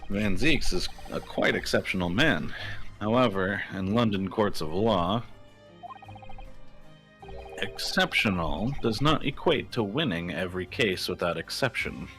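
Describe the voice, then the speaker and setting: Gentleman detective for a game fan dub, male player: learned, grandiose, low-pitched, very animated delivery. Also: a little slow, faint music behind. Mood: lecturing. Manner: grandiose, formal, lecturing.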